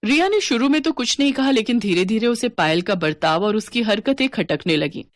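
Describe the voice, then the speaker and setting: A woman's voice: a little high, animated, fairly quick. A woman narrator reading a scripted domestic story.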